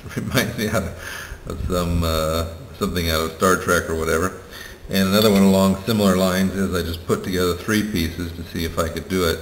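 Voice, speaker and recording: A middle-aged man casually showing his small welding projects, talking plainly and hesitantly with many fillers and pauses. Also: low voice, a bit bright, slight background noise. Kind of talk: casual show-and-tell narration. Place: tiled room at home. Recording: roomy echo with long reverb and faint ambience.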